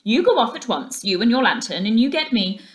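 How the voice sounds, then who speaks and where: A hearty storybook rat, put on by a woman reader, bossy and capable. He gives orders briskly and is particular about what he wants. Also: on the high side, sing-song. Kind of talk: children's storybook character voice.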